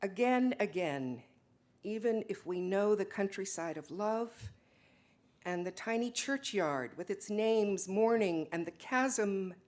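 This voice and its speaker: A woman's voice: mid-range voice, expressive, takes it slowly, a little mellow. A woman performs a defiant wife and translator in a stage drama. She is intense and impassioned, speaking poetry and argument alike with dramatic weight. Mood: solemn.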